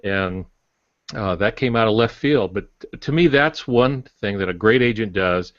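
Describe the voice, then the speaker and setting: A man talking casually as an author on a podcast, recounting his experiences in a measured, anecdotal way. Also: lowish voice, expressive, no echo. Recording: dull, dry close recording.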